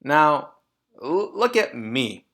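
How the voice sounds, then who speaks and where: A man's voice: on the high side, big pitch swings, extremely slow, a bit bright, pitched above the performer's own voice, heavily acted. A man voicing a vain, smug, scornful mother in a storybook, with brash and emphatic phrasing.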